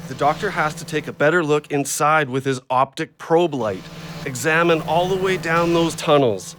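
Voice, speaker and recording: An actor in an audio drama playing an agitated, rambling injured logger who talks in insistent, over-precise detail. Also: male voice, a little high, moderate pace, a bit bright, a little hiss or hum, close to an everyday voice. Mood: fixated, insistent.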